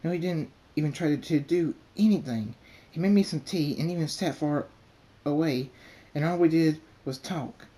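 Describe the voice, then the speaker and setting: A man's voice: a little high, normal speed, few pauses, mellow, voice pushed up, natural voice. A man voicing an earnest young female pony princess who speaks politely and insistently. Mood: protesting.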